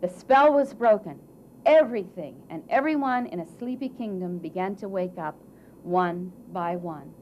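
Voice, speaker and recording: A woman narrating a children's fairy tale at a live puppet show, with dramatic, drawn-out phrasing that swells and hushes for emphasis. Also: fairly low pitch. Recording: dull, noisy live event recording.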